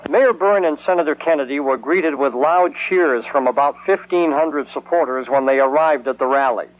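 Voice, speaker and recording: A man's voice: a little high, normal speed. An American man delivering a scripted news report as a radio reporter. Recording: narrow, tinny 1970s radio sound.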